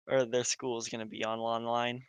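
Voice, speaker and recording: A man's voice: mid-range voice, clear, resonant tone, no room sound, lo-fi sound. A young adult man with an American accent co-hosting a podcast, giving his opinions in casual discussion. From United States. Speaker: podcast co-host.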